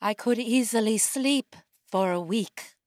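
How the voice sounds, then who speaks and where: A woman's voice: a little high, unhurried, close to an everyday voice. An actress playing an aloof, weary female stage performer in a radio play. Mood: weary, put out. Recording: faint music behind the voice.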